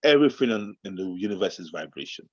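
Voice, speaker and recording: Husky, animated man preaching uplift. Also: sits low, fast talker, no room sound, highs cut off. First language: Yoruba. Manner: animated, preacher-like build-ups, bursts into shouting. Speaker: podcast co-host. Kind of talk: motivational talk show chat.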